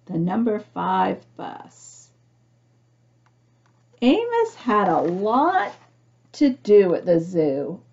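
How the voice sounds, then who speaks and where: Slow-paced woman reading a picture book aloud. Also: medium pitch, vocal fry, a little mellow, husky, highs cut off. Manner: simple explanatory talk, often asking the listener questions. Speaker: storybook reader for young children. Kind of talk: read-aloud picture book with questions and asides to children.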